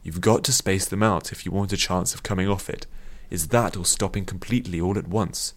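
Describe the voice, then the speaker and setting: A man voicing a calm, kindly old male healer in an audiobook, reasoning in a measured, reassuring way. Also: low-pitched. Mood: firm patience.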